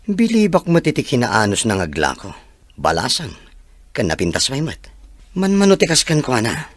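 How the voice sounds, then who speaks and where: A man's voice: mid-range voice, wildly swooping pitch, moderate pace, no room sound. A man playing a frank young friend in an audio drama, giving advice casually. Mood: curious, persuasive. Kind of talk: Ilocano audio drama acting.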